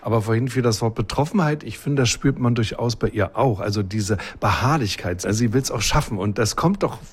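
Fast-talking male interviewer with a husky voice. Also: mid-range voice. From Berlin, Germany. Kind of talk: conversational interview.

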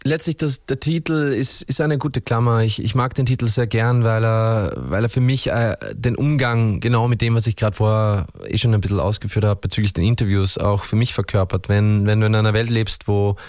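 A man's voice: lowish voice, steady tone, fairly quick, hardly any pauses, darkish tone, no room sound, studio quality. A man with an Austrian accent, a musician answering radio interview questions in a thoughtful, rambling way with many restarts. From Upper Austria. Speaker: musician guest.

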